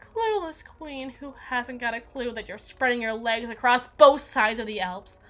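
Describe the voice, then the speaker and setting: A woman playing a jealous, menacing evil queen in an ASMR roleplay, regal and possessive, with theatrical, deliberate formal diction. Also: on the high side, animated, a bit bright, soft background music.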